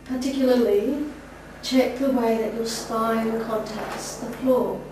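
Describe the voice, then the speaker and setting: A woman student teacher giving slow, guided yoga instruction. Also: fairly high pitch, fairly even intonation. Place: echoey indoor room, from a distance. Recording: very echoey room, distant mic, clear noise.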